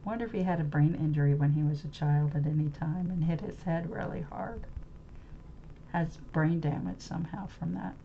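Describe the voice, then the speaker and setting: A woman's voice: low-pitched, steady tone, moderate pace, gravelly, slight background noise. An American woman thinking aloud about a book she read, rambling and searching for words with many restarts. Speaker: webcam channel host. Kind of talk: unscripted musing monologue about a book. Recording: slightly dull lo-fi audio with faint ambience.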